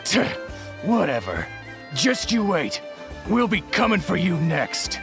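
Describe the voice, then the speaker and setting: A man's voice: sits high, sing-song, takes it slowly, vocal fry, over music, exaggerated voice. A man voicing a petty, menacing henchman in a video game, with a sneering, drawling delivery. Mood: sneering, disgusted.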